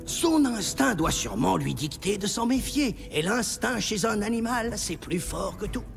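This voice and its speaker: An earnest, learned cartoon sage explains things in measured words. His voice is high and gravelly, with music underneath. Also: very animated, full character voice. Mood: grave, explanatory. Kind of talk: French animated cartoon acting. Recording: music behind the dialogue.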